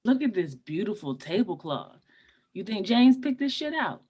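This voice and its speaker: Best friend, female, early thirties, razzing and blunt, very lively pitch, read by an actress at a table read. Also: moderate pace, husky. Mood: dry razzing. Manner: teasing, blunt, slangy.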